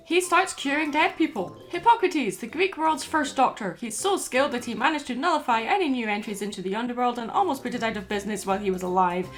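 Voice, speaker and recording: A woman's voice: a little high, animated, quick pace, hardly any pauses, a bit bright, faint music behind. A woman hosting and narrating a scripted video essay.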